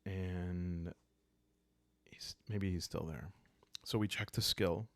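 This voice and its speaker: Low, slow, gravelly man narrating. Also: no echo. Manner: calm, hushed, unhurried storytelling with dramatic pauses. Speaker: solo roleplaying game player and host. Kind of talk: improvised narration of a journaling game.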